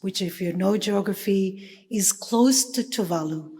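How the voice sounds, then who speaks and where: A woman from Fiji giving a thoughtful conference talk, with many pauses and fillers. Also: mid-range voice, darkish tone, husky. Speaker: panel speaker. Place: conference hall. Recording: reverberant conference hall.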